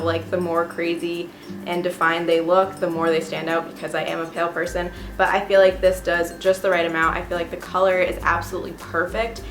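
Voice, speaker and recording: Stuffy-nosed chatty woman vlogging. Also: on the low side. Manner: chatty and gushing, with many fillers. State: stuffy, sniffly from a cold. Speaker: beauty vlogger. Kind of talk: casual monthly favorites chat. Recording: roomy echo with music behind.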